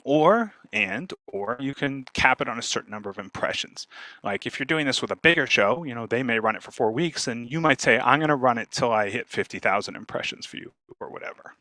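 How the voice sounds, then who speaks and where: A man giving an informal instructional talk as a speaker, talking fast and animatedly and often checking in with his listeners. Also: medium pitch, no echo. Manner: fast, animated talker who checks in with "right?".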